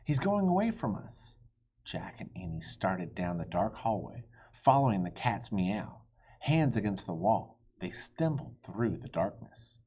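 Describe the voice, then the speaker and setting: Husky man reading a children's story, very animated. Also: deep voice, a little slow. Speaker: audiobook reader. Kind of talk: expressive children's story narration. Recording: dull, lo-fi audio.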